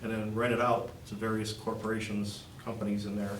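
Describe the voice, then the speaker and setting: A man giving a measured, concerned public comment at a township meeting. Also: fairly low pitch, little pitch movement, a little hiss or hum. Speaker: resident speaking at public comment. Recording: echoey room mic from a distance.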